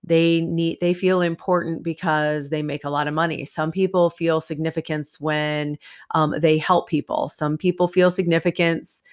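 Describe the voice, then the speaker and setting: A woman hosting a casual solo podcast, chatty and rambling, her voice stuffy and nasal from a sinus infection. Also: deep voice. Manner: chatty, rambling, many fillers. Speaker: podcast host. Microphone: new USB microphone.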